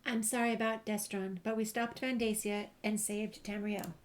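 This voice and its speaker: A woman's voice: mid-range voice, level tone, normal speed. A woman hosting a game walkthrough, casually commenting and reading dialogue options aloud. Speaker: gamer, host of a game walkthrough.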